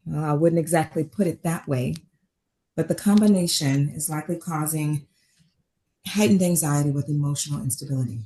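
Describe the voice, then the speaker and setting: A woman playing a composed, apologetic doctor in a dramatic read, measured and clinical, in a roomy recording. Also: sits low, mellow, lightly acted. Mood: careful, clinical. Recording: roomy, echoing remote table-read audio.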